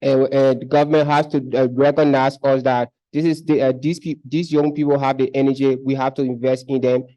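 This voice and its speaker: A man's voice: medium pitch, level tone, a little fast, hardly any pauses, dark tone, dull audio. A young man from Liberia, speaking up earnestly at a conference, often repeating words as he makes his case. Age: young adult. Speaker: conference participant. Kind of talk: discussion contribution. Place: conference hall.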